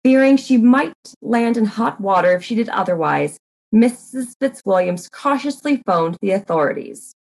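High-voiced woman reading deadpan mystery narration. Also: normal speed, vocal fry, low-quality recording.

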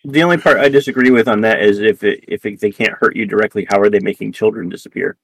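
A man's voice: lowish voice, steady tone, quick pace, slightly rough, rough recording. A man in a casual podcast discussion, chiming in as a guest.

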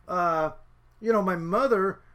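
A middle-aged man with a notably high voice, raised speaking Norwegian, looks back on his childhood in a loose, rambling way. He stops and restarts his sentences often, leaning on fillers as he talks. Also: takes it slowly, a bit bright. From Seattle area, USA. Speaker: fisherman's son recalling childhood. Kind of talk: casual reminiscing.

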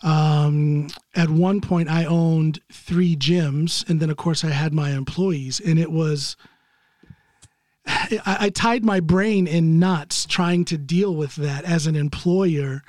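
A man's voice: a little high, slow, a little mellow, no room sound, studio quality. A man from the United States hosting a casual interview, talking in a conversational way and agreeing readily. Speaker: podcast host.

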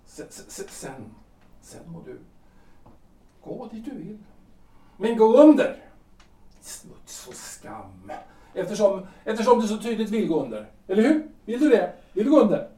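A man playing an anguished, possessive husband in his forties in a period audio drama, halting and repeating his phrases. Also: sits high, sing-song, warm tone. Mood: desperate, possessive. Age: 40s. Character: anguished middle-aged husband, lawyer and politician, pleading and possessive. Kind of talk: audio drama acting of a period stage play. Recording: roomy, distant microphone.

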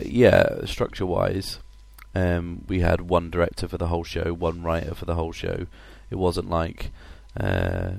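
A man with a cold and a husky voice, hosting a casual podcast chat with many hesitant fillers. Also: low-pitched, a little mellow, no room sound. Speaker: podcast host.

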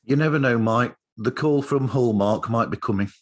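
A man's voice: medium pitch, moderate pace. A man hosting a casual interview, talking in a rambling, enthusiastic way. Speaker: podcast host interviewer.

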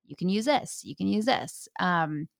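A woman's voice: fairly low pitch, expressive, moderate pace, vocal fry, no room sound, clean studio sound. A woman hosting an interview, talking enthusiastically and telling stories with fillers. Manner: enthusiastic, warm storytelling with fillers. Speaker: host. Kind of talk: casual interview chat.

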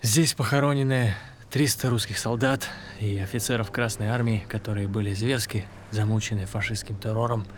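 An actor playing a terse, grieving freed prisoner of war with a Russian accent, solemn and naturalistic. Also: male voice, fairly low pitch, fairly even intonation, a little fast, hardly any pauses, slight background noise, lightly acted. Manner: curt, solemn. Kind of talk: naturalistic audio drama acting.

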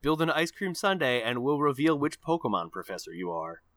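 A man's voice: medium pitch, big pitch swings, a little fast, fairly bright tone, dry recording. A man co-hosting a gaming podcast, talking in a casual, conversational way. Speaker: podcast co-host.

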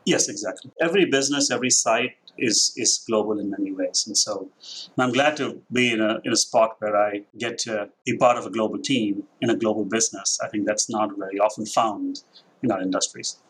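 A man in his forties with an Indian accent softened by years abroad, answering podcast questions about his business. His voice sits low and slightly husky, and he thinks out loud with frequent uh's and restarts. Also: poor audio. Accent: Indian, with a mix of international influences. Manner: measured, thoughtful, with frequent uh fillers and restarts. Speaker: company division president. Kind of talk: corporate podcast interview.

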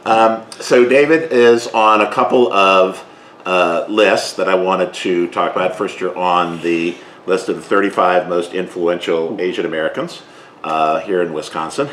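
A man hosting a cooking interview podcast, asking questions in a relaxed, measured way with many ums. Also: low-pitched, roomy sound, a little hiss or hum. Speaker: podcast host.

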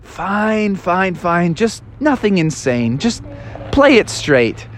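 Brief: laid-back grumpy dude critter, slangy and whiny, bright lively voice, a man plays him. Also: high-pitched, takes it slowly, slight background noise, full character voice. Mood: grumbling.

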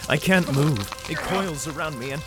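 A man's voice: a little high, normal speed, loud music behind the voice, close to an everyday voice. An actor playing an anxious, haunted father in a sci-fi audio drama, with an intense, theatrical delivery. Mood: panicked dread. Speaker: lead actor and narrator.

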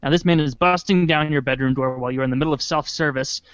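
A man co-hosting a comedy podcast, reading out questions and joking in a dry, deadpan way. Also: medium pitch, quick pace, clean tone, dry recording, lo-fi sound. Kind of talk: casual comedic chat.

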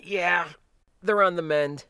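A man's voice: sits high, slow, sharp tone, clear, resonant tone, no echo, clean studio sound, pitched above the performer's own voice, exaggerated voice. A man voicing a middle-aged male cargo worker in an audiobook story, earnest, surprised and full of questions. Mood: pained, rueful.

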